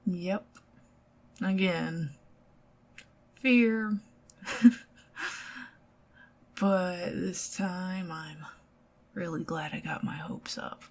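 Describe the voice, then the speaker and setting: Soft, gravelly Southern woman, slow and low. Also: fairly even intonation. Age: middle-aged. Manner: intimate, soft-spoken, giggly, partly whispered. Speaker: ASMR roleplay performer. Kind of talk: ASMR roleplay, soft-spoken date.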